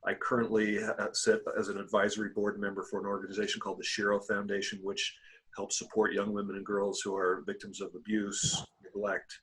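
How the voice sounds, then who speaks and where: A man's voice: on the low side, monotone, fairly quick, a bit bright, breathy edge, rough recording. A man hosting a podcast, talking enthusiastically in a casual interview and often restarting his sentences. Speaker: podcast host.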